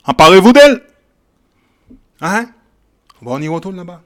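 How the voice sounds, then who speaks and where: High-voiced man preaching emphatically. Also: big pitch swings, moderate pace. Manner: emphatic, repeats phrases, checks in with "ok?" and "hein?"; shifts from quiet verse reading to loud exhortation. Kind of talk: Bible teaching sermon.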